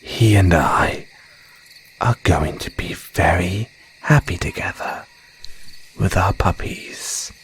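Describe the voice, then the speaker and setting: Guy doing a possessive yandere werewolf, low voice, intense and dramatic, audio roleplay w/ faint crickets behind. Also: takes it slowly, pauses often, slight background noise. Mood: possessive, defensive. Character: possessive, menacing, obsessively loving male werewolf.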